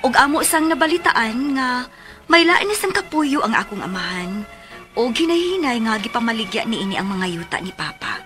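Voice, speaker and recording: A woman's voice: a little high, big pitch swings, moderate pace, pushed and bright, faint music behind. An actress playing an earnest, aggrieved grown daughter reading out her letter in scripted radio drama narration.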